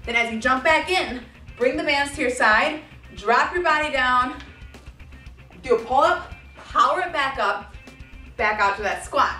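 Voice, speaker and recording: A woman in her thirties coaches a workout in an upbeat way, her voice high and bright. She is picked up from across an echoey home gym room, with faint music behind her. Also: far from the mic. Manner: upbeat coaching, counting out reps. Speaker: fitness trainer. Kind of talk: workout instruction. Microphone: camera mic from across the room.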